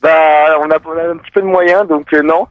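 Young man, quick talker, slightly dull line. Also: fairly high pitch, fairly even intonation, dry recording. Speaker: radio show caller. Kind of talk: casual chat. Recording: slightly dull, lo-fi call sound.

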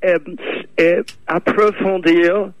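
A man's voice: sits high, steady tone, slow pace, no room sound, lo-fi sound. A man voicing a crude male prank caller who fakes an English speaker and mixes English with French. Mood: pleading.

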